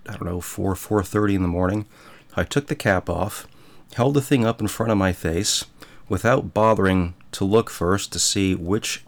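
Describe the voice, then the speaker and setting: A man hosting his show with a rough, phlegmy voice, telling a rambling, self-mocking story in a folksy, casual way. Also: low voice. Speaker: podcast host.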